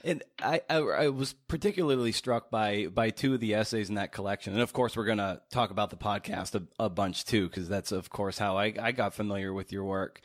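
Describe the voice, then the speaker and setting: A man with a fairly low voice hosts a conversational interview. He builds long questions haltingly, often doubling back and repeating words as he goes. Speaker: podcast host, interviewer.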